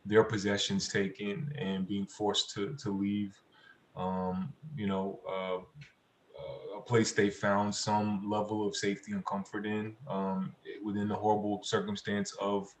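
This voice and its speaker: A man answering as a panelist on a video call, speaking off the cuff and haltingly, searching for words and repeating himself. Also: lowish voice, level tone, a little hiss or hum. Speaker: community organizer, panelist. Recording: echoey room on video call.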